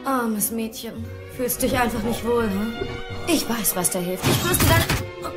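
A young woman playing a tough, assured Viking warrior girl in an animated audio drama. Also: on the high side, unhurried, loud music behind the voice. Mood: tender.

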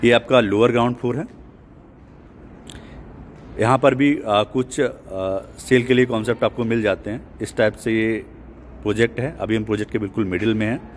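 A man's voice: fairly low pitch, fairly even intonation, normal speed, pauses often, slight background noise. A man giving a walking property sales tour outdoors at a construction site, explaining things with many English terms mixed in. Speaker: real estate agent.

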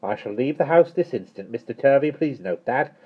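A prim, stout, excitable housekeeper woman from a storybook, played by a man. The voice is pitched very high and swoops about as she exclaims dramatically. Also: a little fast, breathy edge, dull audio. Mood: disgusted, indignant.

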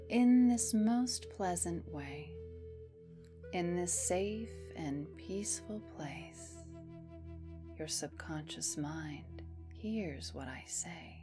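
Soft breathy woman doing asmr hypnosis, real slow, half whispering, soothing, music behind her. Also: fairly low pitch. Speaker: hypnotherapist, host. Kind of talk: ASMR guided hypnosis roleplay. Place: small dim indoor room, close up.

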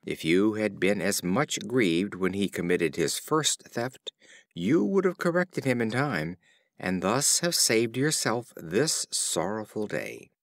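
A man's voice: lowish voice, very animated, slow, no room sound, studio quality, full character voice. A man voicing a stern neighbor in a storybook fable, speaking reproachfully.

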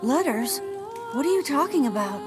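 A woman's voice: high-pitched, big pitch swings, normal speed, loud music behind the voice. A woman voicing a polite, earnest young boy, master of a manor, in a video game, with a light youthful voice. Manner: polite, earnest, eager to help.

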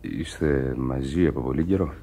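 An actor playing a formal, regretful ship captain in a radio play. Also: male voice, rumbling low, warm tone. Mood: grave.

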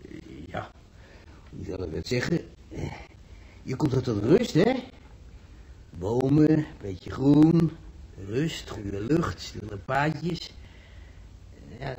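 An actor playing an easygoing working-class fruit vendor, folksy and hesitantly musing, in a Dutch radio play. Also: male voice, medium pitch, mellow. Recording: noisy old radio drama recording.

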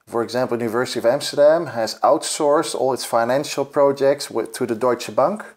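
A man's voice: mid-range voice, fairly quick. A middle-aged man answering interview questions in a thoughtful, hedging way with many fillers. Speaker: event participant interviewed.

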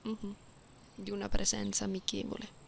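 A woman playing a gentle, playful cat-girl in an ASMR roleplay, speaking softly and intimately, often slipping into whispers. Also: medium pitch, completely flat delivery, gravelly. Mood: soft, concerned. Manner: slow, soothing, intimate, teasing, with meows and purry hums. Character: gentle, playful genetically modified cat-girl comforting someone lonely. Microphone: close binaural microphone. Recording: close binaural recording with faint background noise.

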